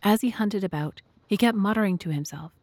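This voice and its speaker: A woman's voice: mid-range voice, fairly quick, darkish tone, no room sound. A woman reading scripted story narration for an audio drama.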